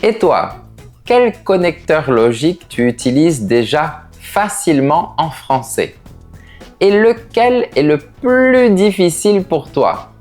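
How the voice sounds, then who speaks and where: A male French teacher speaks at a deliberately slow pace, shaping each word with care. His voice rises and falls in a lively, animated arc, lifting to put emphasis on the key words. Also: a little high, soft background music. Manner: carefully enunciated, explanatory, with lively rising emphasis on key words. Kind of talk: slow, clearly articulated teaching.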